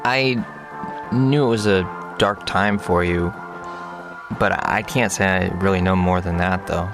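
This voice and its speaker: Older brother played by a man, lowish voice, gently probing, tentative, music behind, audio drama. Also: fairly even intonation, measured pace. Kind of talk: naturalistic audio drama dialogue.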